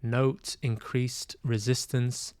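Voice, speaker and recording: Guy streaming games, thinking out loud, unhurried, smooth clear voice. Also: mid-range voice, level tone, no echo. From Manchester, UK. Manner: hesitant, musing aloud to himself. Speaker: livestream host. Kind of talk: casual livestream chat while playing games.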